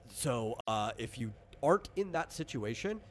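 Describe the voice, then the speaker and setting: A man's voice: mid-range voice, big pitch swings, normal speed. A man working as a game master, casually explaining tabletop RPG rules in an informal, conversational way.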